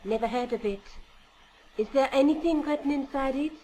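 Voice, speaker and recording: Higher-voiced, knowing young woman acted by a woman. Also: normal speed, mellow, lightly acted. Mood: dry. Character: confident, teasing young woman, investigator's companion. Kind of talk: adventure game voice acting. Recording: faintly noisy game audio capture.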